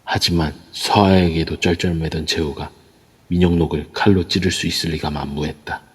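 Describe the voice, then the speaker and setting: Low raspy guy narrating an audio drama, big hall reverb. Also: steady tone, moderate pace. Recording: long reverb throughout.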